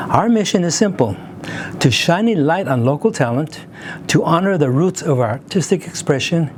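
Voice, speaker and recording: A man's voice: a little high, a little mellow, a little hiss or hum. A man hosting a community arts show, reading an upbeat introduction and interviewing a guest. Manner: upbeat, announcing. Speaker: host.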